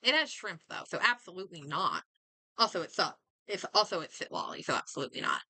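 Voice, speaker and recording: A woman's voice: fairly low pitch, expressive, fast talker, sharp tone, dry recording. A woman game streamer chatting casually as she plays, muttering and thinking aloud to herself. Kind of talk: casual livestream chat while playing a game.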